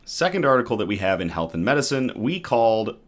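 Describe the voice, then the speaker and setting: A man hosting a news show reads articles aloud, his pitch rising and falling a great deal. He stumbles and restarts over hard technical terms, then chats loosely with viewers between reports. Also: big pitch swings, fairly quick. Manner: reacts to live chat between readings. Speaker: host of a good-news show. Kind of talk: reading news articles aloud with casual asides.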